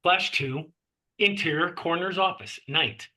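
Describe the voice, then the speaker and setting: A man's voice: a little high, unhurried, pushed and bright. A man reading the stage directions of a horror script aloud as scripted narration at a table read.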